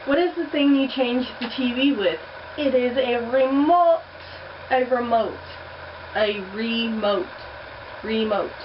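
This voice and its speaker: A young woman chatting bluntly in a casual vlog, swearing now and then. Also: medium pitch. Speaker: vlogger. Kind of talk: casual accent challenge vlog. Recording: distant muffled home recording with heater noise.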